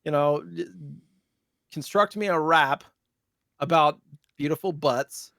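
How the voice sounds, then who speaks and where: A man's voice: a little high, takes it slowly, gravelly, a bit bright, no room sound, studio quality. A man co-hosting a podcast in casual chat, telling rambling, joking stories. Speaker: podcast co-host and streamer.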